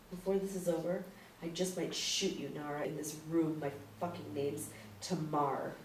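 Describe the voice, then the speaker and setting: A woman's voice: low-pitched, fairly even intonation, a little slow. A woman playing a tough, sardonic young spy in a screenplay read, with a dry, cutting manner. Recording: echoey room, distant mic, faint noise.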